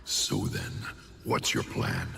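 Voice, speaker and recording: An actor voicing a huge, gruff demon fox spirit in an anime dub, grave and sardonic, with an ominous, deliberate delivery. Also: male voice, sharp tone, a little hiss or hum. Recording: music over the voice.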